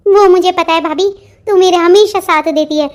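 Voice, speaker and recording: A woman's voice: extremely high pitch, fairly even intonation, normal speed. A woman voicing a sulky, defiant young bride in a melodramatic Hindi cartoon, talking back petulantly about her forced marriage. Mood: pleading.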